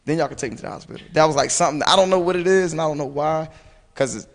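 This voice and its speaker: A hoarse young man on a talk panel, his voice gravelly and fry-heavy. He rambles along in a loose, casual way, circling back with fillers, while a long reverb trails after his words. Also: a little high, racing. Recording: long reverb, faint noise.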